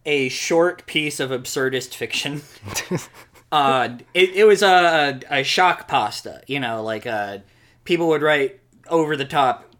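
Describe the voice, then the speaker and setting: A man's voice: medium pitch, unhurried, a bit bright. A man co-hosting a podcast, chatting casually and informally with a joking, sweary manner. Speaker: podcast host.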